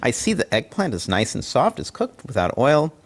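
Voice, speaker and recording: Lively man chatting through a cooking demo. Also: mid-range voice, moderate pace. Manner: chatty, encouraging, tastes and comments. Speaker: home cook presenter. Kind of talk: casual cooking demonstration. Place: home kitchen. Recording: faint background noise.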